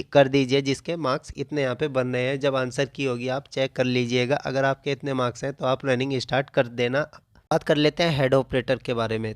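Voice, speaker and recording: A man's voice: mid-range voice, no room sound. A man explains like a coaching teacher in an informal analysis, often restating his phrases.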